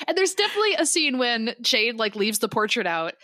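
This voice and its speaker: Animated, giggly woman podcaster. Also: on the high side, brisk, dry recording. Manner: giggly, animated, acts out mock dialogue. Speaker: podcast co-host. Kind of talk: casual comedic chat.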